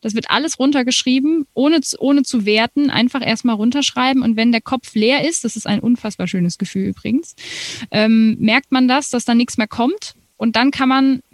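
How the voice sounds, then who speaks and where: Young woman in her twenties, guest in a casual interview; animated, laughing, dry close mic. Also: fairly high pitch, moderate pace, no echo. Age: 20s. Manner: animated, laughs often, many fillers. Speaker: musician guest.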